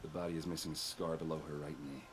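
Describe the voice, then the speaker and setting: A blunt, earnest young warrior in a game. His voice is extremely deep. The game audio carries clear background noise. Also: little pitch movement, fast talker.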